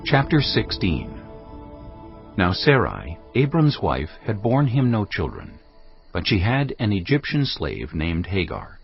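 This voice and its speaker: A man narrating scripture from a script in a measured, dramatic way. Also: lowish voice, wildly swooping pitch, creaky. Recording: slightly dull audio, music behind. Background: background music.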